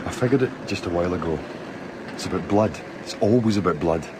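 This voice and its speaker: An actor playing a grim, commanding leader at an isolated polar station in a dramatic audio drama, terse and authoritative. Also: male voice, deep voice, quick pace, heavy background noise, lightly acted. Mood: grave, deliberate.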